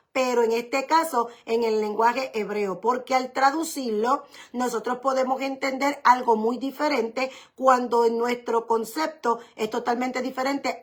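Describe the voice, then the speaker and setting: Female pastor, fairly high-pitched voice, for a teaching sermon; deliberate, explanatory, restates key points. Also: a bit bright.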